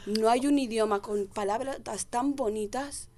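A woman's voice: on the high side, measured pace, darkish tone. A woman guest chatting playfully on a comedy panel, slipping into other accents and speaking Spanish as a second language. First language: not Spanish-first, speaks a home dialect. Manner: playful, switches accents, bursts into sing-song. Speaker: guest actress. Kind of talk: casual panel chat.

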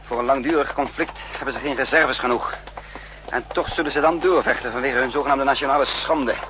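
An actor playing a decisive, practical spy leader in a 1960s radio play, giving orders and explanations in a commanding manner. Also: male voice, medium pitch, brisk, lightly acted. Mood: resolute. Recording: noisy 1960s radio drama recording.

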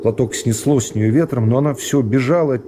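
A middle-aged man reading classic prose as an expressive dramatic narration for a radio serial. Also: medium pitch, a little fast, slight background noise. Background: music.

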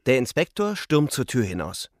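An actor in a radio drama narrating as a disillusioned twenty-year-old soldier, grave and reflective, in short weighted phrases. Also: male voice, mid-range voice, very animated, moderate pace, no echo, studio quality, close to an everyday voice. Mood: matter-of-fact.

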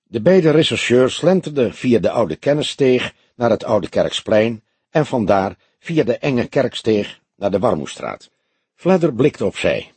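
A man's voice: medium pitch, big pitch swings, unhurried, darkish tone, slightly rough, no room sound. A man reading scripted crime fiction as an audiobook narrator.

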